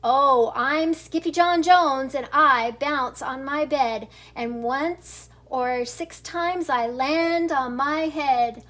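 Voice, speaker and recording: A woman voicing an excitable, boastful little boy kitten who plays at being a swordsman, exclamatory and singsong, for a storybook. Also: sits high, slow pace, few pauses. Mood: excited, bouncy. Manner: exclamatory, singsong, playful mock-Spanish flourishes.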